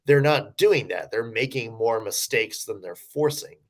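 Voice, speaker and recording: A man's voice: mid-range voice, expressive, unhurried. A man with a mid-range voice co-hosts a sports podcast. He talks through his analysis in a loose, rambling way, doubling back and restarting his sentences often. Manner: rambling, lots of fillers and restarts. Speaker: podcast co-host. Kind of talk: casual sports analysis chat.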